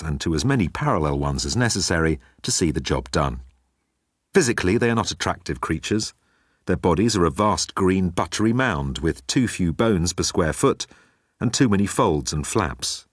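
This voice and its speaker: Male narrator for comic sci-fi story, deep voice, close dry recording. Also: slightly rough, no room sound. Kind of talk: scripted comic science-fiction story narration.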